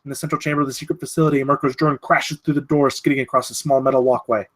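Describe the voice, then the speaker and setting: A man's voice: mid-range voice, fairly even intonation, racing, fairly bright tone. A man reading stage directions at a screenplay table read, in a dramatic, clipped way.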